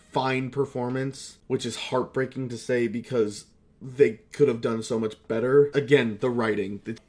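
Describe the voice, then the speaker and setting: A man's voice: medium pitch, a little mellow. Male vlogger, mid-range voice. Casual, rambling confessional delivery; candid, self-mocking, foul-mouthed. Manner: candid, self-deprecating, sweary. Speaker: voice actor reflecting on hobby career.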